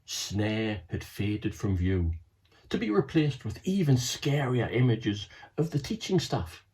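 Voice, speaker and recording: A man reads his children's book aloud, stopping for chatty asides to young listeners. His voice sits low, but his pitch rises and falls with a lot of animation. He sounds like he is talking straight to the children. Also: sing-song, slow, darkish tone. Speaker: children's author.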